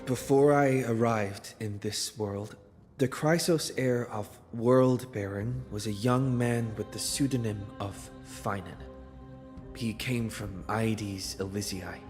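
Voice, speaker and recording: A man voicing an earnest, careful hero in a video game, telling his account in a measured, solemn way. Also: on the low side, steady tone, a little mellow. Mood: somber, earnest. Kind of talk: dramatic scripted game acting. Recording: long reverb, clear background music.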